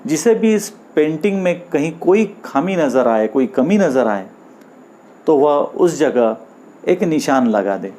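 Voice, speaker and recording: Man reading as a slow, earnest painter. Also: a little high, big pitch swings, mellow. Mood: earnest request. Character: earnest, insecure male painter addressing passers-by in writing.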